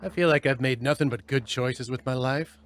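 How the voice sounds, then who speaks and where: A man's voice: medium pitch, normal speed, very noisy. A man riffing on a film with wry, sardonic quips. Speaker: movie riffer. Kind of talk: comedic riffing over a film.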